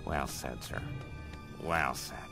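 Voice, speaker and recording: An extremely deep, creaky henchman, fawning and hesitant as a servile cartoon game assistant. Music plays behind the voice. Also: slow, full character voice. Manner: obsequious, hesitant.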